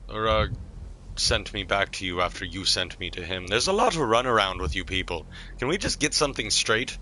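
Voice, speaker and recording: Bright, lowish-voiced male game streamer. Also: expressive, a little fast, slight background noise, low-quality recording. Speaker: live streamer role-playing a game character. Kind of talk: improvised in-character game roleplay with asides.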